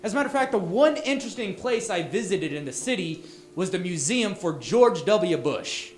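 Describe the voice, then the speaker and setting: Texan male comic, high voice, punchy and loud, stumbles over restarts, echoey room. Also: big pitch swings, moderate pace. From Texas, USA. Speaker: stand-up comic. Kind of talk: stand-up set. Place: small bar venue.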